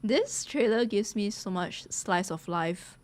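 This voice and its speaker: A woman streamer reacts casually to what she watches. She gushes and talks in loose slang, addressing her viewers like friends, in a mid-pitched voice. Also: expressive, slow pace, darkish tone, soft background music. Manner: gushing, slangy, addresses viewers as guys and bro. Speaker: streamer reacting to a game trailer.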